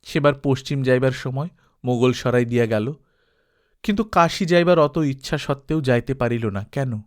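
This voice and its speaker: A man narrating a literary story from a script as an audiobook reader, in an expressive storytelling style. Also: medium pitch, moderate pace.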